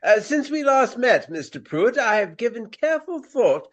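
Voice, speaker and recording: A man playing a pompous, vain Regency gentleman actor, speaking in florid, courtly phrases in a radio play. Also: very high-pitched, big pitch swings, moderate pace, muffled recording. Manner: florid, formal, grandiloquent.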